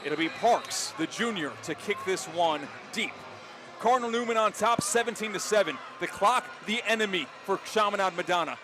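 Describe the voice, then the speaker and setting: A man calling live high school football as a radio play-by-play announcer, projecting with emphatic, excitable delivery. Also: normal speed. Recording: stadium crowd noise behind broadcast commentary. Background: loud outdoor stadium crowd and ambience.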